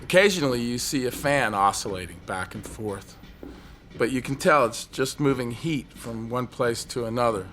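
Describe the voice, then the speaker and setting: An actor playing a brooding, desperate man on a ledge in an audio drama, telling his story in an intense, halting, obsessive way. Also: mid-range voice, fairly bright tone, a little hiss or hum, natural voice. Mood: haunted, reflective. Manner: intense, halting, obsessive monologue with repeated phrases.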